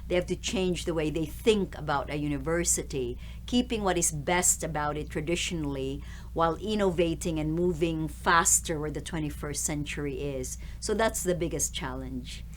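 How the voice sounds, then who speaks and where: A middle-aged woman from the Philippines, a university president, answering interview questions in a measured, articulate way. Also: sits low, a little hiss or hum.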